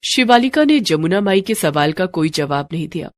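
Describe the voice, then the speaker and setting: A woman's voice: on the low side, lively intonation, normal speed, dry recording. A woman narrating a scripted story in a dramatic storytelling style. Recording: music bed behind the voice. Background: music.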